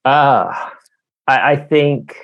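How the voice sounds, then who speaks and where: A man's voice: mid-range voice, drawn-out words, highs cut off. A man from Canada answering interview questions in a conversational, explanatory way, thinking aloud with lots of "you know" and "like". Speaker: author being interviewed.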